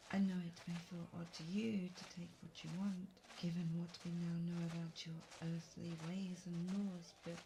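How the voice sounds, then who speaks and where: A woman's voice: fairly low pitch, warm tone, low-quality recording. A woman playing a friendly, chatty young guide from another world in an audio roleplay. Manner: chatty, welcoming, giggly. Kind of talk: roleplay audio drama addressed to the listener. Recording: clear background noise.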